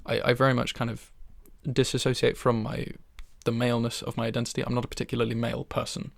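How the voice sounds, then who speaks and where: A man of twenty-one chatting in a soft-spoken ASMR style in his bedroom, rambling and self-deprecating with soft laughs, close to the microphone. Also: mid-range voice, completely flat delivery, quick pace, vocal fry, no room sound. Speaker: ASMR creator.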